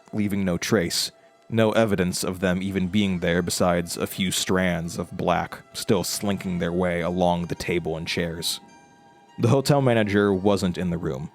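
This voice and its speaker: A man playing a haunted, frightened protagonist, narrating a horror audio drama in a halting, uneasy, stammering way. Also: sits low, gravelly.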